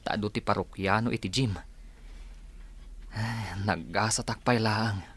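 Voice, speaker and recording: A man's voice: fairly low pitch, fairly even intonation, measured pace, a bit bright, slightly rough, exaggerated voice. A man playing a hopeful working man thinking aloud in a radio drama. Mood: musing. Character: hopeful working man daydreaming about a car.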